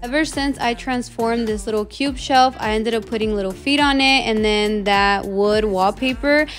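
A woman's voice: a little high, normal speed. A woman in her thirties casually narrating her home cleaning in a voice-over. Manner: casual, chatty, matter-of-fact. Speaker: cleaning vlogger. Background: background music.

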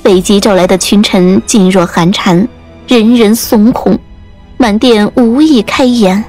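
A woman's voice: medium pitch, steady tone, unhurried, darkish tone. A woman reading scripted audiobook narration as the storyteller. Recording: music under the voice.

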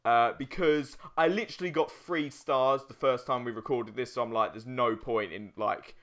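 A man's voice: medium pitch, normal speed, a bit bright. A man hosting a let's play, chatting casually and jokingly over competitive gameplay. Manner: joking, easily amused. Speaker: let's play host and gamer.

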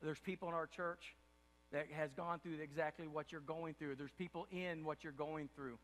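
Impassioned high-voiced older pastor, reverberant hall. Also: male voice, steady tone, normal speed. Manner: impassioned preaching, repeats words, swings from loud to hushed. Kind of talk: sermon. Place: large dark church stage before an audience. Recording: long hall reverb of a big room.

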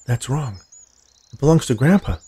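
A man's voice: mid-range voice, lively intonation, normal speed, dark tone, husky, pitched above the performer's own voice. A man voicing a guarded, stubborn young woman in a story, terse and defiant. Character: guarded, stubborn young woman defending her grandfather's land.

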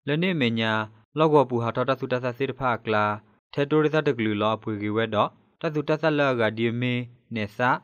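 A man's voice: medium pitch, moderate pace, clean tone, no room sound, dull audio. A man presenting scripted news as a radio newsreader.

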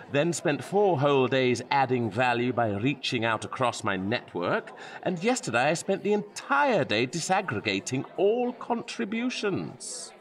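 A man's voice: mid-range voice, a bit bright. A man playing a nonchalant, evasive Tudor court composer in a comic audio drama, flippant, airy and mock-earnest.

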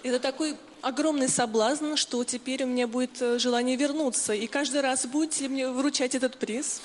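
A woman's voice: high voice, fairly even intonation, a little fast. A woman giving a matter-of-fact consecutive interpretation in a large hall. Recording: hall reverb, faint noise.

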